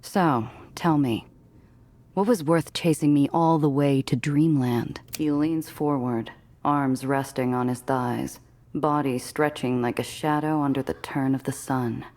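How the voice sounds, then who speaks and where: A woman's voice: rumbling low, steady tone, unhurried, a little mellow. A woman playing a tough, guarded smuggler who narrates her own noir game story in a wry way. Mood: wary, brooding.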